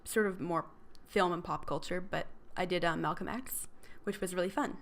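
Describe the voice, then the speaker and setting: A woman's voice: lowish voice, normal speed, a bit bright. A woman co-hosting a podcast in casual chat, rambling with fillers and laughs. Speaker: podcast co-host.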